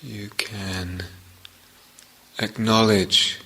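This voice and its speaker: A man leading a guided meditation as a teacher, speaking gently and in measured short phrases with long pauses. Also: on the low side, little pitch movement, poor audio.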